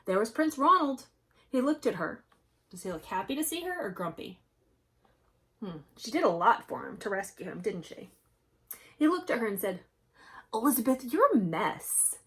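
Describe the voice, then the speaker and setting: A woman storyteller reading a picture book to young children, expressive and emphatic, chatting to them with questions. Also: mid-range voice, normal speed, long pauses, slightly rough. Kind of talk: animated storybook read-aloud with commentary to young children.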